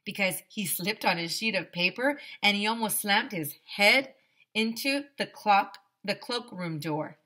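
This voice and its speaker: A woman reading a children's story aloud in an expressive, playful way, speaking directly to young listeners as a teacher would. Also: medium pitch, unhurried. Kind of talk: animated children's read-aloud.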